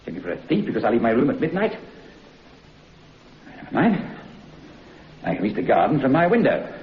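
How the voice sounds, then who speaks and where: A brusque, hot-tempered gentleman guest in an old radio play. His indignant speech swings widely in pitch. It sounds roomy and dull, with faint hiss. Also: huge pitch swings, fairly quick. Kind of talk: old-time radio drama acting.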